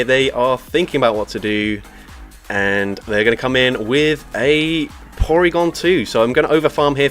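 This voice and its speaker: A man giving energetic shoutcaster-style commentary on a live-streamed game, laughing often as he talks. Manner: energetic shoutcaster delivery, laughs often, lively pitch jumps. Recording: music playing faintly behind.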